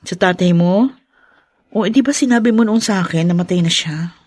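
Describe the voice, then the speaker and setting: A woman's voice: lowish voice, brisk, darkish tone, a little hiss or hum, lightly acted. A woman playing a concerned, probing young wife in a radio drama, earnest and questioning. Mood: shocked, incredulous. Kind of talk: Tagalog radio drama acting.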